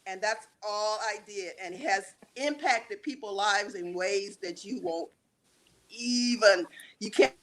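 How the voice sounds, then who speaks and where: A woman giving personal testimony as an interview guest, speaking emphatically and deliberately with dramatic pauses. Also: medium pitch, expressive, rough recording. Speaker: guest, stage play writer and director.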